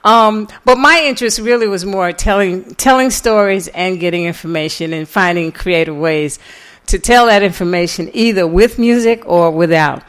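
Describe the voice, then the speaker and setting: A woman's voice: mid-range voice, normal speed, clear, resonant tone. A middle-aged American woman from New York City giving an informal lecture, telling her own story in a conversational way. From New York City, USA. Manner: conversational, animated retelling with quoted dialogue and chuckles. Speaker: guest lecturer and author.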